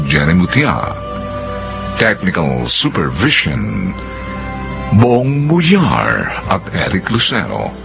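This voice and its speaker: A man's voice: lowish voice, vocal fry. A man with a Filipino accent delivering dramatic scripted narration as the announcer of a radio drama. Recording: music behind the voice.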